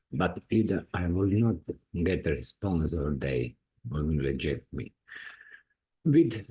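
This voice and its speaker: Man, croatian accent, deep voice, slow careful english, husky tone. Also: darkish tone, low-quality recording. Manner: halting, non-native phrasing with restarts. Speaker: indie author. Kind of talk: personal talk to camera.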